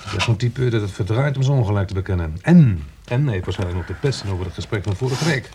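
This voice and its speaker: Brief: opinionated lawyer in radio drama, fast talker with animated pitch, faintly noisy old recording. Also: male voice. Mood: grumbling. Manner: insistent, sceptical, interrupting, lecturing. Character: opinionated, argumentative male lawyer, dismissive and stubborn. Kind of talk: Dutch radio play acting.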